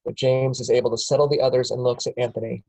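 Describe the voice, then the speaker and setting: A man's voice: mid-range voice, level tone, fast, mellow, rough recording. A man narrating stage directions matter-of-factly at a film script table read.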